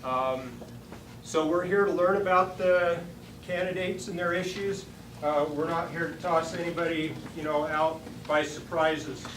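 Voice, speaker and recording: A woman with a deep voice, chatting informally in a folksy way as she moderates a public forum. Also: steady tone, measured pace. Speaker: forum moderator and party chair. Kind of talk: informal public opening remarks. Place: meeting room at a party headquarters. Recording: echoey room, distant mic, clear noise.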